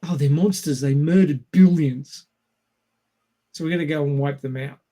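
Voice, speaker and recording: A man's voice: fairly high pitch, normal speed, warm tone. A man co-hosting a casual film discussion, explaining his points and posing rhetorical questions. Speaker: podcast co-host.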